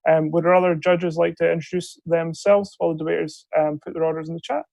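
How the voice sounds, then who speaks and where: A man's voice: a little high, flat delivery, fast, gravelly, a little mellow, dry recording, poor audio. A man chairing an online debate on a video call, giving polite, hesitant instructions full of ums. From Scotland. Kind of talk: procedural briefing on a video call. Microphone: video call microphone.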